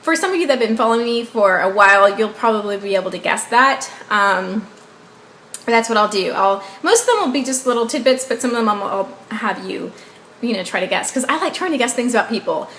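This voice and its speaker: A woman's voice: a little high, lively intonation, fairly quick, a bit bright. A woman in her twenties from the Southern US, with a Southern accent and English as her second language, chatting casually in a rambling, upbeat way to viewers. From Southern United States. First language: not English. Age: 20s. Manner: rambling, upbeat, often asks viewers to guess. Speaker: beauty vlogger. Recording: echoey room with faint background noise.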